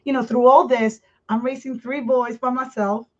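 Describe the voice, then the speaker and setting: A woman, her voice set a little high. She talks candidly as a podcast guest, circling back and restarting her phrases. The sound is muffled and dull. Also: steady tone, a little mellow. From Puerto Rico. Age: 50s. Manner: candid, earnest, speaks in halting restarts. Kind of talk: personal life story in a podcast interview.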